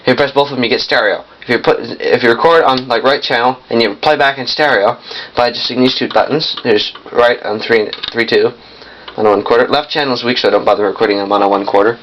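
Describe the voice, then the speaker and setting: A young man giving a casual hands-on demonstration in a halting, piecemeal way, often correcting himself. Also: mid-range voice, a bit bright. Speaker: vintage audio collector demonstrating a machine. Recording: muffled lo-fi camcorder sound, noisy.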